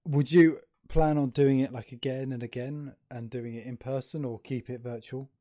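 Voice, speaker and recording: A man hosts a casual chat over a video call, loose and jokey, now and then running himself down. His voice is mid-pitched and sounds dry and close. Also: fairly even intonation, normal speed, mellow, no room sound. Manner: jokey, loose, self-deprecating. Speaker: host.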